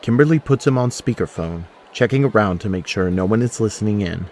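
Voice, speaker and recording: Low-voiced male drama narrator. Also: expressive, brisk, a little mellow, a little hiss or hum. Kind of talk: scripted audio drama narration.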